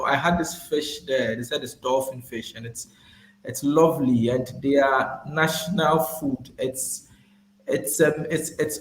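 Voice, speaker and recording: A man's voice: mid-range voice, echoey room, slight background noise, low-quality recording. A man with a foreign accent chatting eagerly about a trip, piling on fillers and restarts. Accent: foreign accent, not local to the island. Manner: gushing, many fillers and restarts. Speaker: guest describing a trip. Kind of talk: casual enthusiastic chat.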